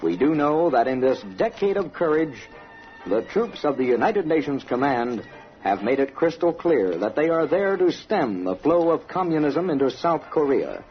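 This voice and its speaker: A man's voice: on the high side, very animated, normal speed. An American man narrating a scripted 1960s radio documentary in a solemn, dramatic, declarative manner. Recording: dull vintage radio broadcast, faint music.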